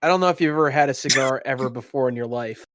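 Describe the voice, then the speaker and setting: A man's voice: medium pitch, fast talker, fairly bright tone, slight background noise. A man acting as game master, bantering casually and narrating a tabletop game.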